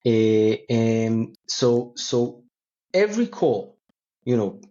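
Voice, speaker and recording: A man's voice: medium pitch, big pitch swings, slow pace, mellow. A man speaking as a company founder being interviewed, answering conversationally with non-native English phrasing. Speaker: company co-founder and CEO, podcast guest. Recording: slightly dull, band-limited audio.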